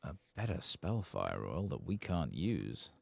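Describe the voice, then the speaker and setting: A man doing soft-spoken, casual playthrough commentary as an ASMR gaming streamer, reading aloud and muttering asides. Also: a bass voice, big pitch swings, a little slow, creaky.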